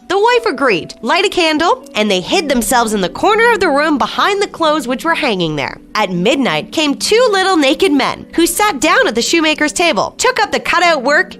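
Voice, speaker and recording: A woman radio host reading a children's bedtime story as scripted storybook narration. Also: sits high. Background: soft music.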